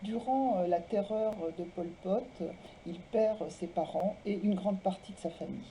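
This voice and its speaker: A woman presenting and reading literary texts aloud in a measured way, phrase by phrase. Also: medium pitch, dark tone, slight background noise, poor audio. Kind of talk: literary talk, biographical introductions and read-aloud texts.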